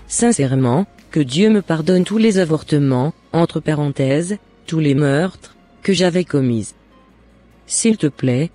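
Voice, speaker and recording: Man voicing a mellow, higher-pitched troubled mother. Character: remorseful mother, weary and frightened, seeking God's forgiveness. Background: faint music.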